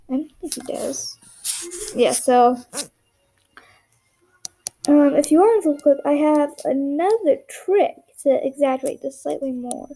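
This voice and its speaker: A young woman talks through a drawing tutorial in a flat, rambling monotone, often half-whispering while she draws. Her voice sits high but has a mellow, gravelly edge. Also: rough recording. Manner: monotone, rambling, self-deprecating. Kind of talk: casual drawing tutorial narration.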